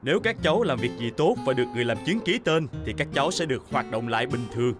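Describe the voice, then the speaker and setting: A man's voice: medium pitch, animated, rapid-fire, music in the background, close to an everyday voice. A man voicing a stern, scolding policeman in a children's cartoon dub, firm and authoritative. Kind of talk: animated children's cartoon dubbing.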